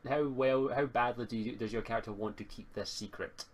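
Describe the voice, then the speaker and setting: A man speaking casually as game master of a tabletop roleplaying game, halting and repeating words as he thinks. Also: medium pitch, steady tone. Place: home online voice call stream.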